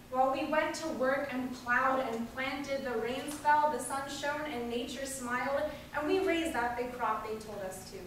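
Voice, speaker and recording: An impassioned populist woman orator, read out by a woman, denounces the powerful in a high voice. The delivery is rhetorical and emphatic, heard from a distance in a very echoey hall with faint noise. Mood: accusing, emphatic. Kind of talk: declaimed political speech read aloud.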